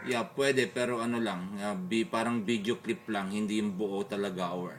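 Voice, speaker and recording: A man with a Filipino accent talking casually as an amateur radio operator, in a hesitant, halting way. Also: lowish voice, fairly even intonation, noisy background. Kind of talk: casual two-way radio chat. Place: beside a radio set, recording it on a phone.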